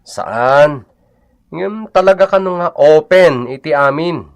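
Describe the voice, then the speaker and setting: A man's voice: fairly high pitch, takes it slowly, clear, resonant tone, dull audio. Actor as insistent, stubborn young man. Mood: matter-of-fact. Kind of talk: comedic radio drama acting.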